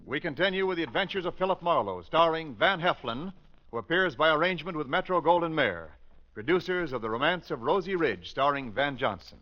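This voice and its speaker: Male announcer, emphatic, animated, higher pitch, dull old radio sound. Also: slight background noise. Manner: brisk, emphatic, salesman-like. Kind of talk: 1940s radio commercial announcing.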